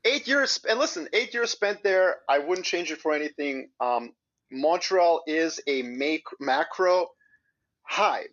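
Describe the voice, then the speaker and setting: A man from Serbia, a guest on an interview podcast, talking in an upbeat, animated storytelling way. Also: a little high, muffled recording. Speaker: guest, investment strategist. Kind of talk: casual interview chat. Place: remote, in Switzerland.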